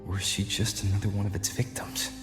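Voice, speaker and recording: A man voicing a thoughtful, composed young wanderer in a video game, reasoning in a measured, serious inner monologue. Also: fairly low pitch, little pitch movement, natural voice. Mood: questioning. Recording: echoey reverb effect, music behind.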